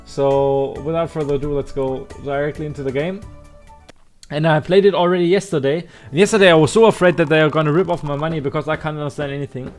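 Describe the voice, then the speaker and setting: A man who is a native German speaker, hosting a casual let's play in a halting way with theatrical announcer flourishes. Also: fairly high pitch, fairly even intonation, darkish tone, soft background music. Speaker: host of a gaming channel.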